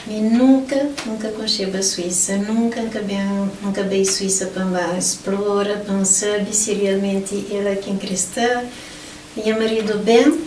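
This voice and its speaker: A woman's voice: medium pitch, darkish tone. A middle-aged woman from Portugal telling her life story as an interview guest. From Portugal (Lisbon). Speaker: interview guest, motivational event organizer. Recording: roomy, distant mic, faint background noise.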